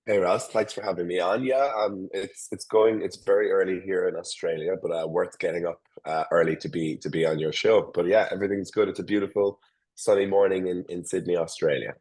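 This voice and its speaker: A deep-voiced Irish man in his forties talks as a guest on a remote call. He keeps restarting his phrases and filling pauses as he goes. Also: steady tone, a little mellow. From Ireland. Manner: hesitant, many restarts and fillers. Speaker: guest, tech company founder. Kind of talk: casual interview over a call.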